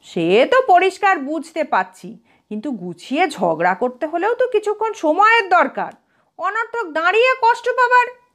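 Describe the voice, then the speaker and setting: A woman's voice: very high voice, very animated, normal speed, fairly bright tone, voice pushed up, heavily acted. A woman voicing a wry, bookish young man who teases drily during an argument in a story reading. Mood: cool, teasing.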